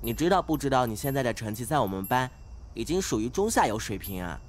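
A man's voice: mid-range voice, lively intonation, quick pace, close to an everyday voice. Man playing an earnest schoolboy class monitor, music behind. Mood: earnest lecturing. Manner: preachy, matter-of-fact, naive. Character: earnest, oblivious, studious teenage class monitor boy. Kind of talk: modern audio drama acting.

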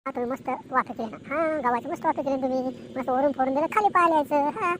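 A woman's voice: high voice, quick pace. A woman voicing a chatty, boastful village man in an amateur cartoon comedy, emphatic and repetitive, with a rural Maharashtrian accent. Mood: chatty, animated. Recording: noticeable background noise.

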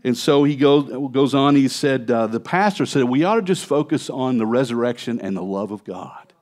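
A man's voice: mid-range voice, normal speed. A man in his fifties, a pastor preaching an expository sermon in a conversational way from a church stage, on a headset mic. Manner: conversational preaching, animated gestures at a lectern. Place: church stage, large indoor hall.

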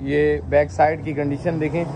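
Man, 30s, outdoor car market, brisk repetitive patter, faint street noise. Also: on the high side, flat delivery. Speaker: vlogger touring a used car market. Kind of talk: walkaround car showcase with owner chats.

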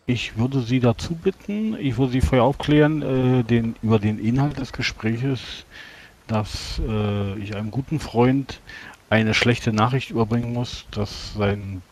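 A man playing a tabletop roleplaying game, casually explaining his plans and haltingly rephrasing as he goes. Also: medium pitch, a little hiss or hum.

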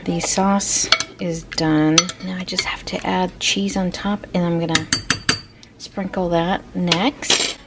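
Low-voiced woman, chatty home cook. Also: very noisy. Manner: chatty, informal, playful wording. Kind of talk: casual cooking vlog narration. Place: home kitchen, indoors.

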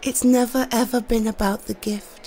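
A British woman playing an affectionate, slightly shy girlfriend in an intimate roleplay, speaking softly and hesitantly to her partner. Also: fairly high pitch, fairly even intonation, natural voice. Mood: tender, earnest. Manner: soft, hesitant, tender, with halting repeats.

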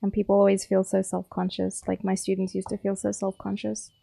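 A woman's voice: mid-range voice, monotone, a little fast, gravelly, warm tone. A young woman with a South African accent, chatting casually and teasingly with her viewers while streaming a game. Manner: chatty, playful, teasing chat.

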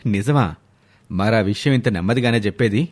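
A man's voice: on the low side, fairly quick, pitched below the performer's own voice, natural voice. Man acting a sensible, chiding husband. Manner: reasoning, gently chiding. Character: sensible, mildly exasperated husband.

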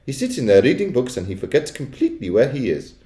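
A man puts on an elderly grandmother for a storybook. Her voice is high and lilting, polite and breezily composed, in a roomy space. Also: sing-song, fast talker, dark tone, close to an everyday voice. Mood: cool chattiness. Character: cool, chatty, kindly old grandmother.

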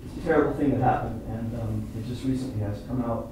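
Echoey, distant man explaining haltingly. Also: mid-range voice, fairly even intonation. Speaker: playwright and performer at a post-show talkback. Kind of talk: informal discussion with an audience. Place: indoor theatre stage, seated on the floor. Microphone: far camera microphone. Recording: distant echoey hall recording with noise.

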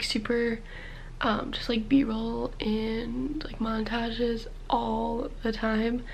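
A woman's voice: fairly high pitch, a little hiss or hum. A young woman chatting casually to camera in her bedroom, slightly stuffed up, with lots of "like" and "literally". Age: young adult. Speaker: college student vlogger. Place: small bedroom, indoors.

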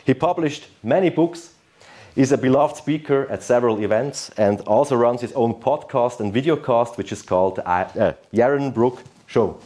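A man in his thirties introducing a guest speaker to an audience over a handheld microphone, formal and slightly halting. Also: mid-range voice, dark tone. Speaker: event host. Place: lecture room.

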